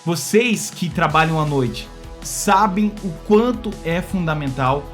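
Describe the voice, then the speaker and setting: A Brazilian man hosting a casual opinion video, talking to viewers in an expressive, conversational way that often seeks their agreement. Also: a little high, slow, soft background music. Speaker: YouTube channel host.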